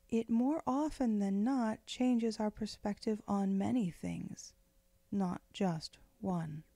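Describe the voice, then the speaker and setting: A woman leading a guided meditation in a gentle, unhurried, soothing way, with phrases often trailing off into breath. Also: medium pitch, creaky, no room sound. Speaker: meditation guide, spiritual director.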